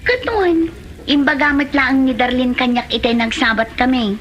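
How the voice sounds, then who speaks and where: An actress with an Ilocano Filipino accent playing an exasperated, scolding wife in a comedy drama. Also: female voice, sits high, normal speed, lo-fi sound, a little hiss or hum, natural voice.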